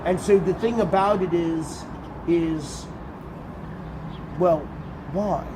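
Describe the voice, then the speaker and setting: A man with a booming voice guiding a tour group outdoors, explaining in a lively conversational way. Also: takes it slowly. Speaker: tour guide. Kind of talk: guided tour talk. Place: outdoors at a garden site. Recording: outdoor street noise.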